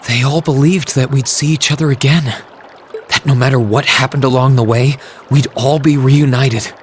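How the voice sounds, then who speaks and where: An actor voicing an earnest, self-doubting teenage student in a video game, speaking sincerely and reflectively. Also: male voice, medium pitch, steady tone, moderate pace, a little hiss or hum, natural voice. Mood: resolved, hopeful. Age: young adult. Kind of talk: video game cutscene voice acting. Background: trickling water ambience.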